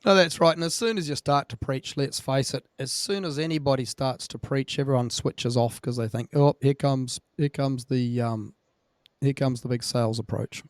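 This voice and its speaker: A man with a New Zealand accent hosting a podcast, easygoing and quick to agree. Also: mid-range voice, smooth, no echo, clean studio sound. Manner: easygoing, short agreeing replies. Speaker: podcast host. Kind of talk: casual conversation.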